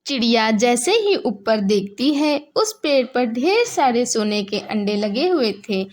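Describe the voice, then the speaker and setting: A woman's voice: on the high side, very animated, measured pace. A woman narrating a scripted moral story for children.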